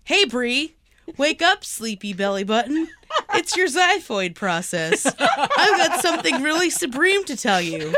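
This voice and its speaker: A woman's voice: high-pitched, big pitch swings, a little slow, heavy background noise. A woman in her thirties in casual podcast banter, playful and often laughing through her words as she reads out silly lines. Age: 30s. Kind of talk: casual banter, reading a silly story aloud.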